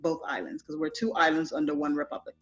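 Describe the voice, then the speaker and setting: A woman's voice: low-pitched, level tone, fast, a bit bright, highs cut off, soft background music. A middle-aged woman from Trinidad and Tobago with a Trinidadian accent, speaking casually as an interview guest and often saying "you know". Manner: easygoing, conversational, frequent "you know". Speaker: festival founder, guest.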